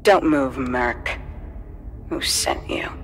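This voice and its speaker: Evil game villainess, slow taunting drawl, voice swoops around, menacing. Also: female voice, extremely low pitch, huge pitch swings, faint music behind, heavily acted. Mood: threatening. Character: smug, menacing female symbiote villain scientist. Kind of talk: video game villain dialogue.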